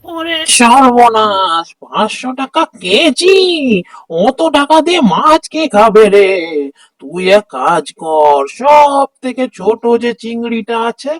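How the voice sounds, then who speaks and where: A man's voice: very high voice, sing-song, slow pace, fairly bright tone, no room sound, exaggerated voice. A man voicing a stingy, crafty old village father-in-law in a cartoon folk tale, grumbling, haggling and exclaiming. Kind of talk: Bengali cartoon fairy-tale dialogue.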